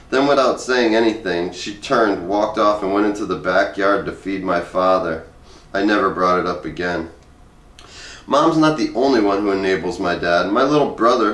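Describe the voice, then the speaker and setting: A man with a fairly low voice reads a comic essay aloud. His delivery is conversational and wry, like personal storytelling. The room echoes clearly and he sounds a little way back from the microphone. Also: fairly bright tone. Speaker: reader of a humorous essay. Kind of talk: comedic first-person essay read aloud. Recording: roomy echo, distant microphone.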